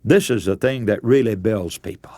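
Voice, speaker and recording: A man's voice: lowish voice, moderate pace, a little mellow, dry recording. A man preaching a radio sermon, giving practical advice in an earnest, instructive way. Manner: earnest, instructive, addresses listeners as friends.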